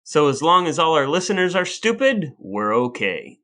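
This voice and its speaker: Bright, forceful male podcast host. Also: fairly high pitch, big pitch swings, moderate pace. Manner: wry, playfully formal and wordy. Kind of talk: scripted podcast narration and banter.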